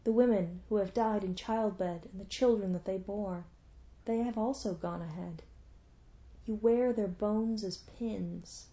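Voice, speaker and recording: A woman voicing a tender, sorrowful royal mother in a storybook reading, gentle and pleading. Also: medium pitch, measured pace, lo-fi sound, natural voice.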